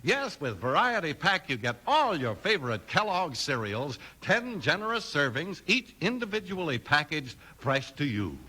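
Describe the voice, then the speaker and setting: A male American announcer from 1950s radio delivers polished, emphatic reads. His voice is high and piercingly bright, with lively pitch. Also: moderate pace, few pauses, husky. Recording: old radio transcription with music.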